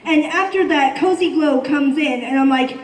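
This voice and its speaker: A woman asking questions from the audience at a panel, speaking in a hesitant, halting way. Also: high-pitched. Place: convention panel room. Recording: echoey convention room, distant mic.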